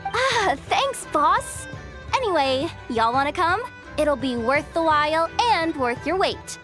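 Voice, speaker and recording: A woman playing an eager, excitable young working girl in a video game, gushing and breezy with a slight stammer. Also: sits high, slow pace, faint music behind, full character voice.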